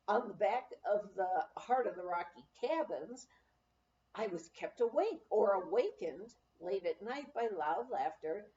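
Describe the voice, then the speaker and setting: A woman reading a memoir aloud as narration, in a measured storytelling way with dramatic emphasis. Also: mid-range voice, mellow, a little hiss or hum. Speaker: audiobook reader. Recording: roomy, slightly dull home recording.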